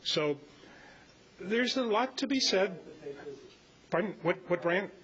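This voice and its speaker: A man in his seventies giving an informal, halting, rambling talk to a club meeting. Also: high voice, expressive, fairly bright tone. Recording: muffled live meeting audio with hiss.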